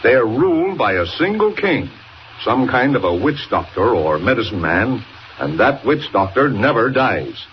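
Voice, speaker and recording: An actor playing a brisk, urgent newspaper boss in 1940s radio style, speaking with emphatic authority. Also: male voice, mid-range voice, sing-song. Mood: urgent, intriguing. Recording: muffled, noisy 1940 radio recording.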